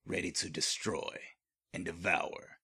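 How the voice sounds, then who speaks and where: A man playing a grave, ominous narrator of a horror audio drama, theatrical and gravelly. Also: low-pitched, flat delivery, unhurried, sharp tone, heavily acted.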